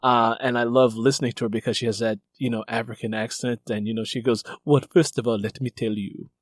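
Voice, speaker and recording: A man's voice: mid-range voice, level tone, brisk, no echo, clean studio sound. A man interviewing as host and fellow writer, conversational and effusive, repeating words for emphasis.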